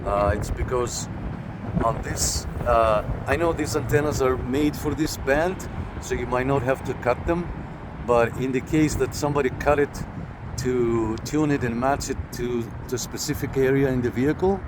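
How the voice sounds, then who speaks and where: A man giving a casual hands-on product review as a video host, talking informally and directly to viewers on a handheld camera. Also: medium pitch. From Canada. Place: outdoors in a parking lot and inside a parked car. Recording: noisy outdoor recording with car sounds.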